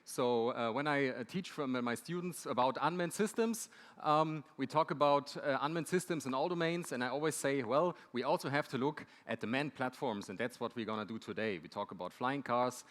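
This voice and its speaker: A man's voice: medium pitch, brisk, fairly bright tone. A man moderating a conference panel, introducing the topic and speakers from the stage. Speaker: panel moderator, university lecturer. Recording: echoey hall.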